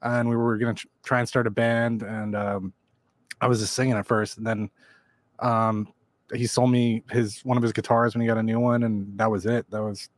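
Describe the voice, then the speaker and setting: A man's voice: lowish voice, flat delivery, normal speed, no room sound. A man in his forties talking casually as a podcast guest, laid-back and swearing freely. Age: 40s. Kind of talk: casual chat.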